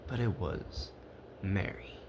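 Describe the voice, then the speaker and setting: Male storyteller for children's read-aloud, slow and halting, amateur stumbles, home recording with faint noise. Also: a little mellow. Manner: halting, stumbling over the text.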